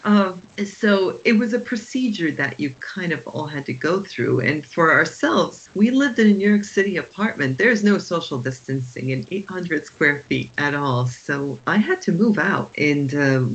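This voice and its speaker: A woman's voice: very deep voice, dull audio. Reflective woman. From Croatia. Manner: measured, reflective, with frequent "uh" pauses. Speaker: emergency physician. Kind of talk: conversational podcast storytelling.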